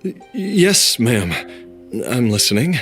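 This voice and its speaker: This is a timid, obedient game character, with an extremely low voice for a female. The delivery is slow, halting and stammering, with soft music behind. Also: big pitch swings, heavily acted. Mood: nervous, obedient. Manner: hesitant, stammering. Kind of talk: video game voice acting.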